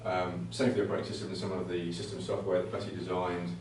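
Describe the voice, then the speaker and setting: A man presents technical material informally, in a low voice that stays quite flat. He is hesitant and conversational, doubling back on words as he explains. The recording is heavily echoey, as if from a venue. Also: fast talker. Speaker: presenter at a computing conservation talk. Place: indoor talk venue. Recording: very echoey room, distant mic, faint noise.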